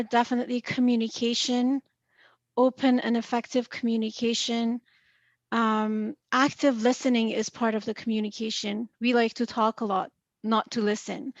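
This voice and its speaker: A woman's voice: on the high side, no echo, highs cut off. A woman with a noticeable accent on a virtual panel, explaining earnestly with examples and many fillers over a video call. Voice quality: noticeable non-native accent. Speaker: panellist in finance.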